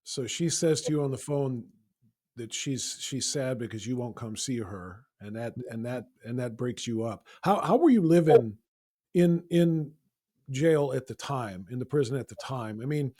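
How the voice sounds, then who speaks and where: A man's voice: mid-range voice, animated, moderate pace, dark tone. A man hosting an interview show, asking probing questions and repeating words as he frames them. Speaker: podcast host.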